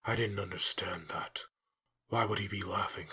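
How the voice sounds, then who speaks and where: A man voicing a grim, haunted undead assassin in a brooding comic dub monologue, with a raspy, gravelly growl. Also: deep voice, fairly even intonation, moderate pace, bright, forceful tone, exaggerated voice. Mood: brooding, bitter.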